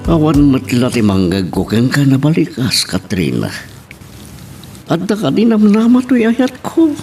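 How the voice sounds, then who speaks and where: A man playing an amorous older pensioner in an audio drama, wheedling a young woman with drawn-out delivery. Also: on the high side, huge pitch swings, a little mellow, soft background music, exaggerated voice. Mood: pleading, boastful. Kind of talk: Ilocano radio-style drama acting.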